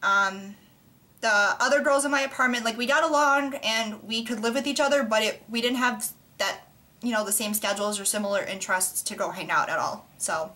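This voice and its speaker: A woman's voice: fairly high pitch, brisk, sharp tone. An American woman in her twenties answering interview questions casually and eagerly as a college student. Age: 20s. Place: small bedroom indoors.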